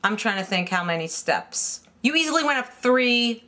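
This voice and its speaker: A woman's voice: on the high side, very animated, normal speed, pushed and bright. A middle-aged woman from New York, a vocal coach, explaining singing technique in a casual interview indoors, earnestly and with frequent restarts. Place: indoor home room lined with CD shelves.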